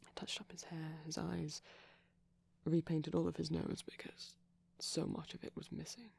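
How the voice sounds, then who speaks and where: A man talks in a hushed ASMR murmur that often drops to a whisper. His voice is breathy and husky with plenty of creak. He sounds gentle and shy, rambling hesitantly close to the mic. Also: fairly high pitch, flat delivery, normal speed. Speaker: ASMR performer. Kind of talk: soft-spoken ASMR roleplay ramble.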